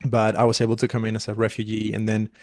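Cuban filmmaker guest, man in his thirties, flat even delivery, earnest. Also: on the low side, fast talker, no echo. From Cuba. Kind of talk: casual interview storytelling.

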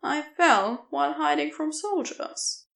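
A woman's voice: sits high, takes it slowly, creaky, fairly bright tone, echoey room, voice pushed up, exaggerated voice. A woman voicing a wry, flirtatious man stranded in an audio story.

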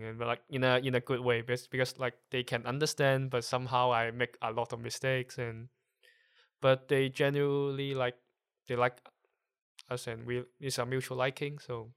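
A man from Hong Kong whose first language is Cantonese, speaking English with a Hong Kong accent as a guest, explaining things haltingly while searching for words. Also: medium pitch, flat delivery, smooth. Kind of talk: casual conversation.

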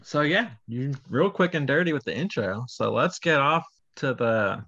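A podcast host chatting casually, laughing often and rambling through self-corrections. The voice sits at a middle pitch, recorded with a somewhat dull, lo-fi tone. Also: expressive, normal speed, a bit bright. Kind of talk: casual chat.

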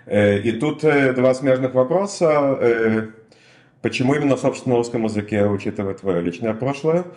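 A man's voice: medium pitch, moderate pace, darkish tone, reverberant. A man hosting a podcast, asking long, probing questions. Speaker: podcast host. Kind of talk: interview questions.

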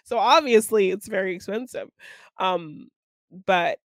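Woman chatting about a movie on a podcast, mid pitch, kinda feeling her way thru it, very dry sound. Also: a little slow, no room sound. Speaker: podcast co-host. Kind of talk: casual film discussion.

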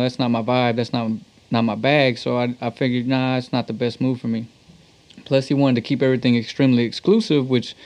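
A man's voice: medium pitch, darkish tone. A man from Texas, a musician being interviewed, telling stories casually in slangy, profane, conversational language. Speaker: musician guest, talk box player.

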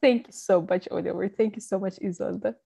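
A woman's voice: medium pitch, steady tone, brisk, creaky. A woman hosting an interview, thoughtful and searching for words. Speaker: host. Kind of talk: conversational interview.

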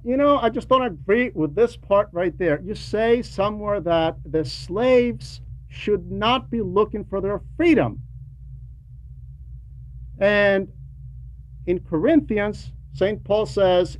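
A man giving a homily to a class in a deliberate, didactic way, pausing between short phrases and repeating words for emphasis, on a dull, lo-fi recording. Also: high-pitched. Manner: didactic, poses rhetorical questions. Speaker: religious teacher reading a translated homily to a class. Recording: dull lo-fi recording with faint noise.

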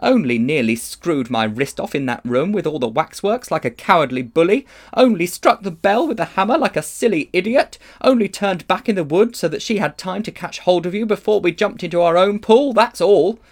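High-pitched storybook schoolgirl, done by a man, prim, indignant, sarcastic, very animated. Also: moderate pace, exaggerated voice. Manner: cool, sarcastic. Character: prim, indignant, sharp-tongued young girl. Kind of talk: audiobook character acting.

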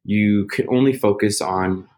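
Man, casual chat, rambling and reflective, lowish voice, lots of "you know" and "like". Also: a little slow. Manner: rambling, self-searching, many fillers; loud at times.

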